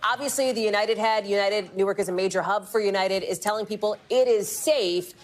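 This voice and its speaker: A woman's voice: medium pitch, fairly quick. An American woman anchoring live news in a conversational broadcast style.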